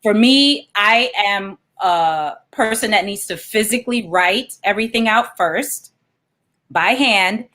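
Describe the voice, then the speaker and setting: A woman's voice: medium pitch, bright, forceful tone. A woman, a children's author, reading aloud and explaining things as an interview guest.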